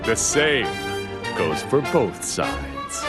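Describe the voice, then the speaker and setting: A man's voice: on the low side, big pitch swings, very slow, full character voice. A man voicing an arrogant, coldly analytical bio-engineered monster in an anime game dub, with a haughty, theatrical delivery. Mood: calculating, haughty. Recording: loud music behind the voice.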